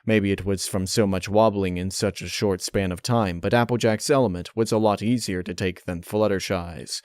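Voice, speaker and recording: A man reading a dark fan fiction story as narrator, in a measured, dramatic way. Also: on the low side, fairly even intonation, smooth, no echo, studio quality. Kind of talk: dark audiobook-style narration.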